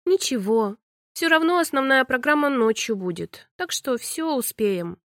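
A woman's voice: sits high, animated, no echo, studio quality, exaggerated voice. A woman voicing a young, mischievous mermaid princess who pouts, wheedles and excuses herself. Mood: wheedling. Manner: bright, quick, wheedling, pouty.

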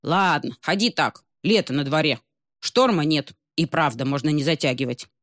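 A woman voicing a gruff, blustering sea king father. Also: sits low, normal speed, dry recording, studio quality, close to an everyday voice.

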